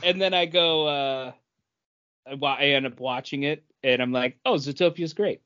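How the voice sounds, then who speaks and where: A man's voice: a little high, normal speed, sharp tone, clear, resonant tone, lo-fi sound. A man talking casually as one of the hosts of a podcast. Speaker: podcast co-host. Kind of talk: casual panel chat.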